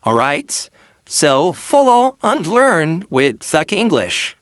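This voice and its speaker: A man opens his English lesson with a friendly greeting, speaking slowly and with lively rises and falls in pitch. The sound is dry and close, with no room echo. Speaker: host of an English lesson channel. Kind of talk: lesson introduction.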